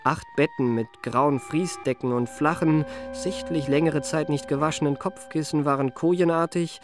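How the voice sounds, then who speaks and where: An actor narrating a German radio play as an urbane confidence trickster recalling his youth, in measured, ornate, wryly genteel phrases. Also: a little high, fairly even intonation, music in the background, natural voice. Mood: wry, composed.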